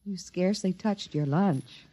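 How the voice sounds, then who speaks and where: An actress playing a gentle, protective mother and worried wife, soothing and pleading, in a 1950s radio drama. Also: lowish voice, a little slow, slightly rough.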